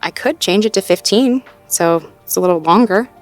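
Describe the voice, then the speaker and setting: A woman playing a sweet, supportive girlfriend in an intimate audio roleplay, talking in a gently upbeat, conversational way as she thinks aloud. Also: mid-range voice, normal speed, faint music behind, lightly acted. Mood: thoughtful, supportive. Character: sweet, supportive girlfriend working through goals with partner.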